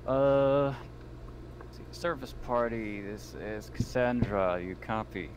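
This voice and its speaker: A man's voice: medium pitch, slow pace. A man playing a tabletop roleplaying game, moving between casual chat and speaking in character. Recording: clear background noise, online voice call.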